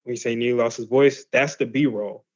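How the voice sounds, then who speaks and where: A man's voice: medium pitch, fairly even intonation, moderate pace, darkish tone, muffled recording. A man teaching a class in a loose, conversational way, full of fillers and restarts. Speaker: class instructor. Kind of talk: casual explanatory lesson.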